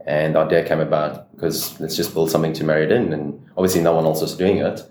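A man's voice: a bass voice, little pitch movement, fast, darkish tone, echoey room. A South African man in his thirties, a business owner chatting casually as an interview guest, easygoing and loose with his fillers.

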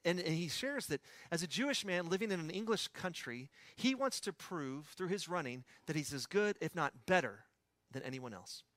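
A pastor with a West Texas accent preaching a sermon in a conversational storytelling way, with personal asides. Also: male voice, fairly high pitch, expressive, normal speed, fairly bright tone, no room sound.

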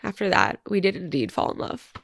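A young woman hosts a podcast and reads a personal letter aloud, her voice congested from crying. She talks low and halting, with plenty of gravelly fry. The sound is close and dry. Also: very animated, fairly bright tone, no echo. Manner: tearful, halting, many fillers. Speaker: podcast host.

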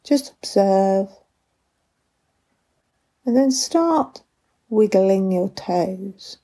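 A woman leading a guided body scan meditation with gentle, unhurried instructions and long pauses, in a soft, breathy voice. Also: mid-range voice, animated.

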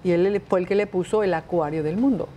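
Woman from Mexico, lowish voice, thinks aloud with fillers, casual interview guest, faint ambient noise. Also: fast, mellow. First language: Spanish. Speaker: guest, tour company owner.

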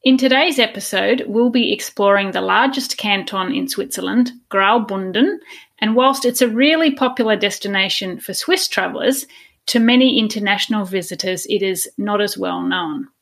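A woman hosts an interview podcast in a medium-pitched voice. She is polite and encouraging, and keeps her questions conversational. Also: moderate pace, creaky, a bit bright. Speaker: podcast host.

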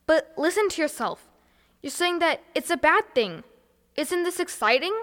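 A woman's voice: very high-pitched, animated, moderate pace, a bit bright, long reverb tail. A woman voicing a bold, confident young girl with an upbeat, bossy way of talking.

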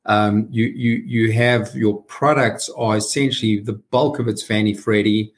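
A man's voice: fairly low pitch, steady tone, unhurried. A middle-aged or older man with a South African accent, answering conversationally as a podcast guest. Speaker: podcast guest, company founder and chief executive.

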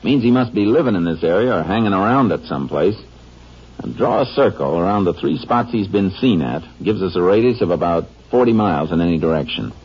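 An American actor playing a steady, clipped, matter-of-fact Texas Ranger investigator in a 1950s western radio drama, also narrating. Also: male voice, low voice, fairly quick, husky, heavily acted. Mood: focused, matter-of-fact. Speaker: lead actor and first-person narrator. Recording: muffled, noisy old radio recording.

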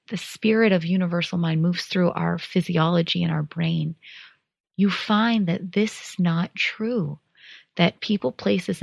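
Low, gravelly-voiced woman. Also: no room sound. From United States. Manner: calm, earnest and encouraging. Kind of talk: reflective monologue.

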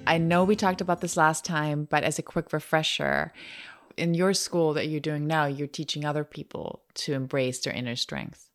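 A woman hosting a podcast interview, chatty and casual, laughing often and filling pauses with "like" and "um". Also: low voice, fairly even intonation, faint music behind. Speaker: podcast host.

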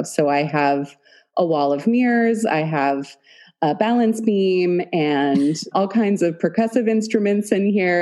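Female guest artist, very low, mellow voice, earnest and effusive, speaking over a video call. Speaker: guest artist and painting teacher. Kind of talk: casual interview chat. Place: home studio in a converted dance studio.

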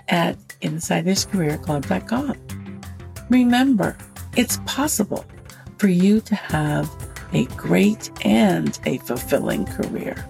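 A woman's voice: mid-range voice, vocal fry, a little mellow. A woman from Northern California who hosts a career podcast and coaches, speaking in an upbeat, encouraging way. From Northern California, USA. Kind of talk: podcast hosting and ad read.

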